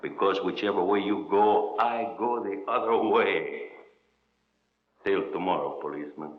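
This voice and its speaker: A bitter male ex-convict, low-pitched, cocky and mocking. He boasts about himself with menace in an old crime drama. The recording is muffled and echoey, with faint noise. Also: measured pace. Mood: mocking, dreamy. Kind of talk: 1940s-50s radio crime drama acting.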